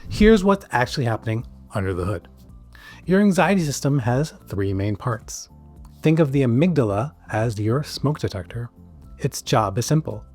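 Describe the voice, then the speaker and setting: A man narrating a scripted educational explainer as a psychiatrist host, stressing key words with dramatic pauses. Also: mid-range voice, expressive, darkish tone, soft background music.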